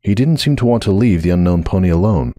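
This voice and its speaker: Low-voiced, very expressive man narrating. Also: fast talker, dry recording. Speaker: narrator of a dramatic fan fiction reading. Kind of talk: scripted dramatic story narration.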